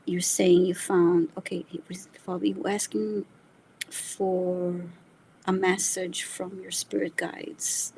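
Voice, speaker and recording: A woman's voice: fairly low pitch, flat delivery, takes it slowly, creaky, warm tone, a little hiss or hum, rough recording. A Brazilian woman, a native Portuguese speaker, co-hosting a live reading, hesitant with many fillers and half-whispered asides. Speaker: co-host. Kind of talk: live-stream chat reading out comments.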